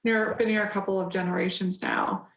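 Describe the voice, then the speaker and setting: Young woman, interview guest, steady flat delivery, earnest and measured, echoey room. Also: medium pitch. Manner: earnest, measured, frequent "um" and restarts. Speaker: council member guest. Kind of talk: radio interview answers.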